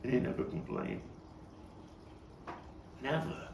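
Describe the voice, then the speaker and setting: An actor playing a nostalgic, soul-searching Brooklyn man in a dramatic stage monologue, halting and repeating words as he moves between hushed and emphatic delivery. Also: lightly acted. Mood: wistful, tender. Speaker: playwright and actor. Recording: distant mic, roomy echo, faint noise.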